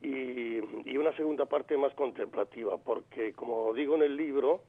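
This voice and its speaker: A man's voice: medium pitch, moderate pace. A man in his sixties from Granada, a poet calling in to a radio interview, speaking reflectively with many fillers and restarts. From Granada, Spain. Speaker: poet, phone guest. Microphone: telephone line. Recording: phone-line radio call.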